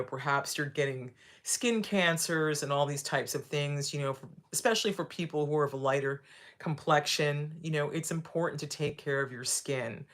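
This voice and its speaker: A sixty-year-old woman hosting a live video, talking casually as she demonstrates, with frequent tag questions and repeated phrases. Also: low voice. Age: 60s. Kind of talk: casual instructional talk.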